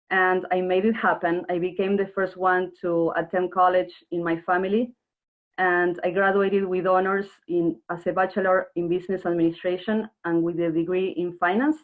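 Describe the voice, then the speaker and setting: Argentine lady running a webinar, earnest, talks straight to you, phone-thin sound. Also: lowish voice. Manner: earnest, explanatory, addresses the audience directly. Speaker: workshop presenter. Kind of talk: webinar presentation. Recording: narrow phone-like online call audio.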